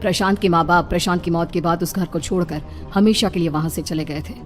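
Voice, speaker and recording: A woman's voice: lowish voice, steady tone, fairly quick. A woman narrator reading a scripted story in a dramatic storytelling style.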